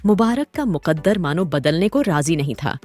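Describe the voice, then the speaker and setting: A woman's voice: sits low, very animated, moderate pace, darkish tone. A woman hosting and narrating a scripted documentary story in a dramatic, literary storytelling manner. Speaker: host and narrator. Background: background music.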